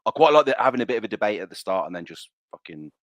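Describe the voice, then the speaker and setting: A man hosting his own stream, relaxed and casual, filling pauses with ums and yeahs. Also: on the low side, dry recording, clean studio sound. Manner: laid-back, lots of ums and yeahs. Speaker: streamer host. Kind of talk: casual chat.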